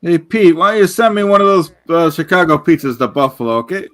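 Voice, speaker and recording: Higher-pitched man, playfully aggrieved. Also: expressive, fast. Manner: mock-aggrieved, addresses listeners as folks. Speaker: livestream co-host. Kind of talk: comic banter, playing to the audience.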